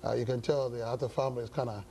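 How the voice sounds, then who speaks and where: A pastor from Ghana, older, answering interview questions slowly with many pauses and fillers. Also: male voice, mid-range voice, fairly even intonation, gravelly, mellow, slight background noise. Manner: halting, many uh fillers, measured. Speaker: pastor and guest. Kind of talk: interview talk show.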